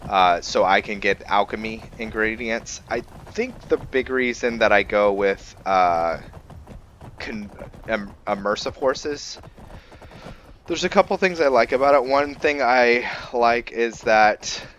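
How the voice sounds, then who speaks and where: A man giving casual, unscripted gameplay commentary, musing and haltingly thinking aloud. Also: mid-range voice, fairly bright tone, highs cut off, slight background noise. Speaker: gamer hosting a let's play.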